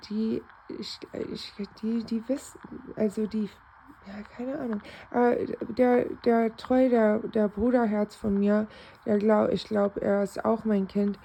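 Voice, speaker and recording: A woman's voice: medium pitch, creaky, warm tone, slight background noise, rough recording. A woman rambling casually in a spiritual vlog, repeating herself and restarting often, speaking German with an American accent. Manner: switches between English and German. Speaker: self-described novice clairvoyant.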